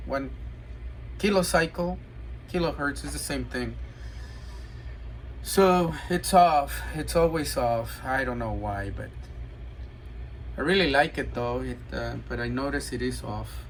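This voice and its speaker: A man giving a casual, hands-on demo of gear he is selling, talking haltingly as he thinks aloud. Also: mid-range voice, poor audio. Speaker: radio seller demonstrating gear. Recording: clear background noise, steady hum.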